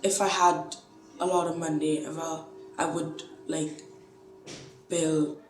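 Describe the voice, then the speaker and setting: A young woman from Syria speaks casually and hesitantly about herself. Her pitch moves little. The recording is roomy, with clear music underneath. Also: flat delivery, takes it slowly. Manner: hesitant, peppered with "like". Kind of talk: casual personal account.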